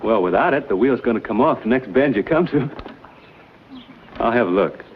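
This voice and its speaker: A man's voice: medium pitch, expressive, normal speed, slight background noise, lightly acted. An actor playing an easygoing American frontiersman who drawls with hesitant fillers. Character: easygoing frontiersman hero, adult man. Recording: tinny old TV soundtrack.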